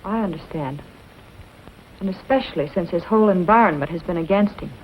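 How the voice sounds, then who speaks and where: A woman's voice: lowish voice, fairly quick, lightly acted. A woman playing a polite, earnest newcomer lady in 1940s western film style. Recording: dull old film soundtrack, faint noise.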